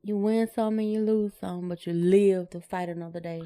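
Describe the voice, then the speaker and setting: A woman's voice: medium pitch, steady tone, moderate pace, dark tone, clear, resonant tone. A woman co-hosting a podcast in casual chat, laconic and given to brief replies. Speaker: podcast co-host.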